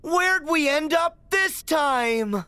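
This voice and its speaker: A woman voicing a cheerful, eager teenage boy adventurer in a video game, excitable and playful. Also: high voice, very slow, dry recording, full character voice. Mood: eager, curious. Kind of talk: video game cutscene acting.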